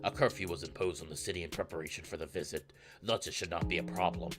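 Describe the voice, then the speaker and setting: Man as a furred alien royal, low voice, earnest, formal, commanding, game character, background music. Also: steady tone, fast, natural voice. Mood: formal, assured.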